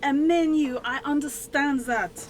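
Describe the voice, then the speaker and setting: Over faint noise, a woman plays a bewildered newcomer in an amateur radio drama. She speaks slowly and deliberately, her high voice prim and questioning. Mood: puzzled. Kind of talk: amateur radio play acting. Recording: faintly noisy amateur recording.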